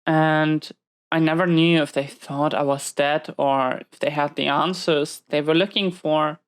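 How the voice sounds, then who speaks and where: A low, smooth-voiced female villain behind bars, acted by a woman, speaking slowly in an intimate audio roleplay. She is wounded and weary, confiding in halting, reflective phrases. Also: steady tone. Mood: pained recollection. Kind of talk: slow intimate audio roleplay monologue to a listener.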